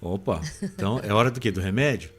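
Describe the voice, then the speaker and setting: A man's voice: fairly low pitch, fast, fairly bright tone, breathy edge, faint music behind. A man with a Brazilian accent chatting casually as a podcast host. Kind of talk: casual chat.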